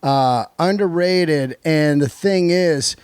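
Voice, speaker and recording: Excitable man with a high-pitched voice, podcast host. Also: slow, smooth, no room sound. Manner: excitable, effusive, slangy, swearing. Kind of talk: unscripted podcast intro and chat.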